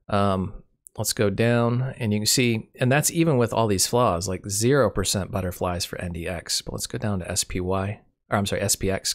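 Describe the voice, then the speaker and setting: A man with a cold and a fading voice, casually walking through a screen share off the cuff, correcting himself as he goes. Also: lowish voice. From Michigan. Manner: unscripted, self-correcting, dry humor. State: has a cold, losing his voice. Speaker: channel host.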